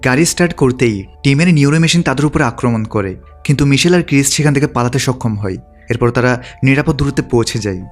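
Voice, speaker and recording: A man's voice: mid-range voice, fast talker, soft background music. A man reading a scripted film recap as a storytelling narrator.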